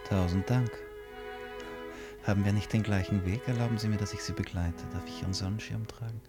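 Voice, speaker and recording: A man's voice: fairly low pitch, fairly even intonation, brisk, music in the background, lightly acted. An actor in a German radio drama playing a restless, brooding man musing to himself in fragmented questions. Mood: urgent, pleading. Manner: musing, fragmented self-questioning, shifting between murmur and outburst. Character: restless, brooding male stranger lost in his own thoughts.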